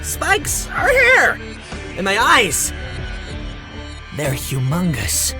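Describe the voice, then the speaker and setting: A man's voice: sits high, wildly swooping pitch, extremely slow, over music, full character voice. A man playing a panicked young man turned hedgehog in an amateur audio drama, frantic and exclaiming in disbelief. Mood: panicked, shouting.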